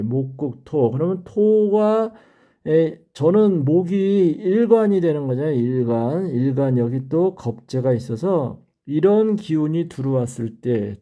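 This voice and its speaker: A man's voice: a little high, dark tone. An older man teaching in an explanatory lecture style, repeating key terms for emphasis as he goes. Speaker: fortune-telling teacher.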